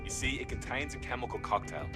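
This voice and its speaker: A man's voice: mid-range voice, animated, fast, over music, close to an everyday voice. A man playing a condescending, clinical villain scientist in a video game, precise, smug and detached.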